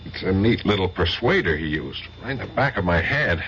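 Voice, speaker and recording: A man's voice: low-pitched, very animated, fairly quick, fairly bright tone, exaggerated voice. A man playing a sardonic, wisecracking American investigator in an old radio drama. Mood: wry. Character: wisecracking American male investigator, young adult. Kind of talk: 1970s radio drama acting. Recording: dull vintage radio broadcast, faint hiss.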